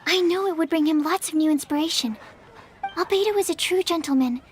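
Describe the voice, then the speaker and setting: A shy young alchemist's assistant with a very high voice. She stammers and apologizes often and speaks timidly. It is video game voice acting. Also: fairly quick, slight background noise. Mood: nervous.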